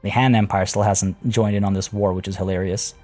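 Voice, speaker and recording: A relaxed man with a low, warm voice walks through his strategy game turn by turn. He sounds slightly hoarse, as if losing his voice. Faint game music plays quietly behind him. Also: brisk. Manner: relaxed, thinking aloud with many fillers. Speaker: let's play host. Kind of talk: casual gameplay commentary.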